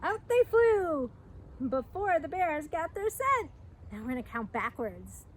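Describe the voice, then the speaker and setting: A middle-aged woman reads a picture book aloud to young children in an expressive, sing-song storytelling manner with chatty asides. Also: very high voice, measured pace. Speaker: park educator hosting a children's storytime. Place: outdoors by a fence at a trailhead. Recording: outdoor recording with background noise.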